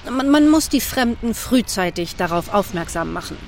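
A woman reading scripted narration in a measured, official, matter-of-fact manner. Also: medium pitch, animated. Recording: faint background noise.

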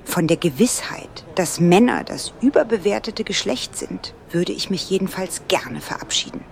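A woman's voice: mid-range voice, expressive, normal speed, gravelly. An actress playing a sardonic, prickly woman traveller in an audio drama, sarcastic and dismissive in manner. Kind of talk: German audio drama dialogue.

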